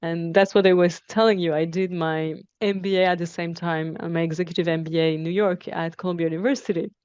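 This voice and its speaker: A woman in her forties from Iran and France speaks in a fairly low register, as an interview guest would. She explains things reflectively and often reworks a sentence midway. The sound is close and dry, with a slightly dulled, lo-fi top end. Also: steady tone, quick pace, a little mellow, clear, resonant tone, no room sound. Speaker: interview guest, technology professional. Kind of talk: conversational interview answers.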